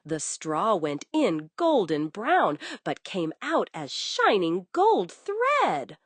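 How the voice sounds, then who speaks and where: A woman's voice: medium pitch, huge pitch swings, slow pace, husky, no echo. A woman narrating a scripted bedtime story for young children, coddling the listener with endearments.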